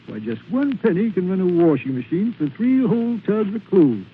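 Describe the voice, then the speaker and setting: Feisty, high-pitched folksy talking penny. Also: male voice, very animated, moderate pace. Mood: indignant, boastful. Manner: folksy rural slang, indignant bragging. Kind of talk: 1940s radio ad character acting. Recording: noisy narrow-band 1940s radio recording.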